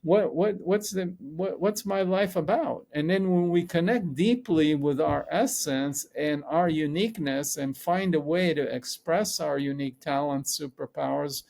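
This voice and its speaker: A man's voice: on the high side, a little slow, vocal fry, few pauses, a little mellow. A middle-aged man, a podcast guest speaking in a thoughtful, searching way and often correcting himself mid-sentence. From Israel. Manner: thoughtful, rambling with self-corrections. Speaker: podcast guest, psychologist and coach. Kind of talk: reflective conversational interview.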